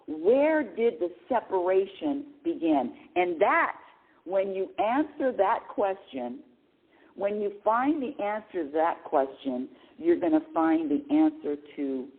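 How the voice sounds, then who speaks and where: Animated middle-aged woman on a phone line. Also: fairly low pitch, slow. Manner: animated, repeats and restarts words, laughs often. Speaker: radio show host. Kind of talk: spiritual talk, monologue.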